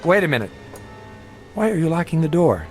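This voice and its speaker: An anxious, brooding traveler over music. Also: male voice, on the high side, sing-song, a little fast, a little mellow. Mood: nervous. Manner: brooding, literate, dramatic. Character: weary male traveler trapped in a monastery, anxious, skeptical. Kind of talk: radio drama acting with first-person narration. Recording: music bed under the voice.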